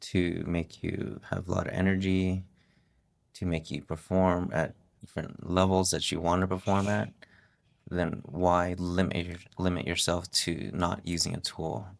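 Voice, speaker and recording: Deep-voiced middle-aged man, gravelly, monotone, casual podcast guest. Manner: relaxed, halting, with many restarts. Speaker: podcast guest, host's husband. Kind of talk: casual interview talk.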